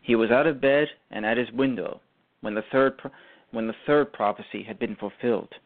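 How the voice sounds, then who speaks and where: A man reading scripted narration for a radio drama, halting and repeating phrases. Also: mid-range voice. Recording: muffled phone-like audio.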